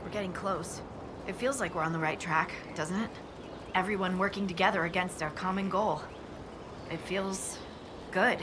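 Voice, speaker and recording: Actress as a wry, lower-voiced young dwarf scout. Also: female voice, fairly even intonation, moderate pace, natural voice. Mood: hopeful. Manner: wry, candid, chatty. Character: friendly young female dwarf scout and archer. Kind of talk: natural video game dialogue. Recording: clear background ambient noise.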